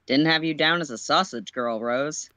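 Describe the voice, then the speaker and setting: A man's voice: on the high side, moderate pace, bright, forceful tone, clear, resonant tone, no room sound. A man voicing a cocky, evasive, wisecracking teenage boy in a story reading, slangy and flippant. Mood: smug.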